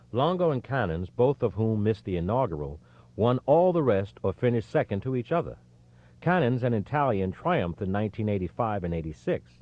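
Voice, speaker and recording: A man reading scripted nonfiction as an audiobook narrator, in a measured, expressive storytelling delivery. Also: on the low side, lo-fi sound.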